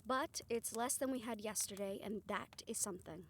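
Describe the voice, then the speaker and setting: A woman's voice: medium pitch, normal speed, a bit bright, a little hiss or hum, close to an everyday voice. A woman playing a caring, teasing and driven strategist of a supernatural team in a conversational audio roleplay. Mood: focused, resolute. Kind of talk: scripted audio roleplay acting.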